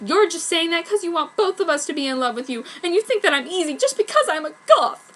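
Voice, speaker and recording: Woman acting a high, melodramatic teenage goth girl. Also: big pitch swings, fast, a bit bright, heavily acted. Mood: outraged. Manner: melodramatic, exclamatory. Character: dramatic, easily outraged teenage goth girl heroine.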